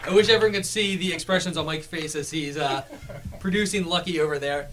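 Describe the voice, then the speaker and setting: Fast-talking, chatty male radio host. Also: a little high, a crowd in the background, background noise. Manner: enthusiastic, chatty. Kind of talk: casual radio interview.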